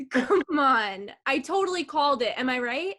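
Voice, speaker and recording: A woman's voice: high voice, expressive, measured pace, a bit bright. A woman playing a brash, mocking circus juggler in a staged play reading, with slangy teasing talk. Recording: video call.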